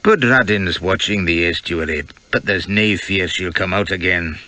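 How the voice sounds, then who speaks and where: A man voicing a gruff, pious, sardonic Scottish sea captain in an audiobook, with a growl in the voice. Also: sits low, moderate pace, pushed and bright, no echo. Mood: grimly matter-of-fact.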